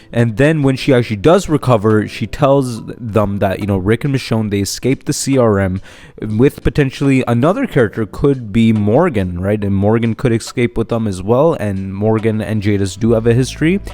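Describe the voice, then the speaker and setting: A man's voice: medium pitch, a little mellow. A man hosting an unscripted fan theory video, rambling with lots of fillers and tag questions, his voice a little off from a flu and sore throat. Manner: rambling, many fillers and "right?" tags. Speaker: YouTube channel host.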